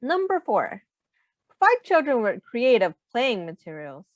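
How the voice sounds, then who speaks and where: A woman reading aloud in an instructive, deliberate way with expressive emphasis. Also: a little high, no room sound. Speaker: teacher reading a picture book aloud. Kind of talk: read-aloud narration.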